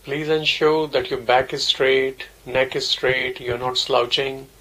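Male meditation guide, medium pitch, slow and measured delivery, plain repetitive phrasing. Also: flat delivery, fairly bright tone, rough recording. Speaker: meditation teacher.

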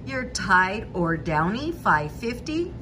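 A woman's voice: mid-range voice, sing-song, takes it slowly, background noise. A woman hosting a couponing channel, walking through store deals in a methodical voice-over, price by price.

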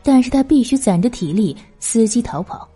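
A woman's voice: on the high side, animated, moderate pace, dark tone, breathy edge, faint music behind. A woman reading scripted novel narration as an audiobook narrator.

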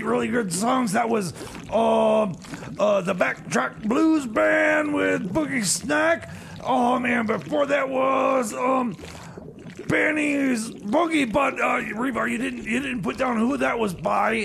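A man's voice: very high voice, takes it slowly, vocal fry, a bit bright, slight background noise, full character voice. A man playing a flustered, indignant radio DJ in a comedy radio play, stammering and repeating his words. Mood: flustered, halting. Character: flustered, indignant radio DJ berating his time machine mechanic.

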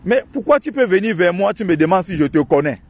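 Husky man ranting outdoors by a lake. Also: sing-song. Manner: indignant, ranting and mocking, quick shifts in pitch. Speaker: online activist and channel host. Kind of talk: outdoor vlog monologue.

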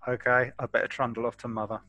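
A man's voice: mid-range voice, little pitch movement, quick pace, pushed and bright, dry recording. A man chatting casually as a player in a tabletop roleplaying game, speaking as a ship's captain. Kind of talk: casual in-character game chat. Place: indoors, on a group video call.

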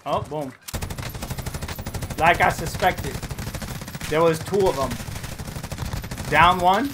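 A man's voice: a little high, slow pace, noisy background. A man in his thirties reacting live and chatting over gameplay, slangy and exclamatory with plenty of swearing. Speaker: gaming streamer. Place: small room at home.

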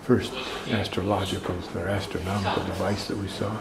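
A man's voice: low-pitched, level tone, fairly quick, vocal fry, over a noisy crowd. An older man narrating a walking tour as he films, musing and thinking aloud about what he sees. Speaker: travel vlogger. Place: outdoors in a city garden and a small indoor museum.

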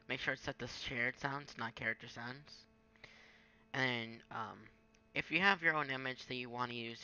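Creaky, bright-voiced young man, offhand tutorial. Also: mid-range voice, low-quality recording. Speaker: gaming tutorial maker. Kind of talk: casual screen-recorded software tutorial. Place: indoors at a home computer.

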